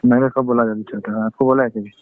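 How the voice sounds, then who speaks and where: Tinny phone sound, bit of noise, guy arguing defensively, short halting answers, normal pitch. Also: fairly even intonation. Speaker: man confronted in a personal phone argument. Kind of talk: casual personal argument.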